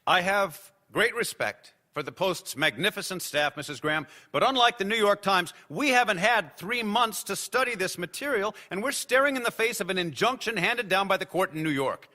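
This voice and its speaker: Careful lawyer guy in radio play, formal wording, highish sharp voice. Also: expressive, normal speed, hardly any pauses. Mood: formal, firm. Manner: formal, articulate, cautionary. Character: cautious, formal male corporate lawyer. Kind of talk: audio drama acting.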